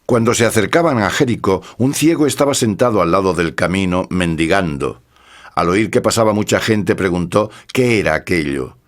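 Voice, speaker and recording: A man's voice: sits low, moderate pace. A man narrating scripture from a script in a solemn, formal manner.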